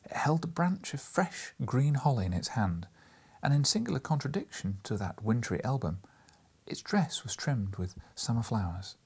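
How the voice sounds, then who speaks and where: A man reading an audiobook as dramatic literary narration, theatrical and sometimes hushed, with emphatic stresses. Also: mid-range voice, big pitch swings, moderate pace, husky. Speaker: audiobook reader.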